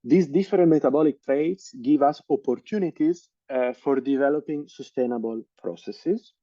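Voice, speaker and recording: A man's voice: mid-range voice, normal speed, dark tone, no room sound, highs cut off. A man in his thirties with an Italian accent, giving an online science lecture with many hesitant fillers and restarts. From Italy. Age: 30s.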